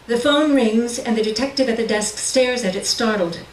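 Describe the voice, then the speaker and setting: A woman reading literary fiction aloud at a live reading, with measured, deliberate delivery and dramatic pauses. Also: on the high side. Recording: roomy, distant mic with faint noise.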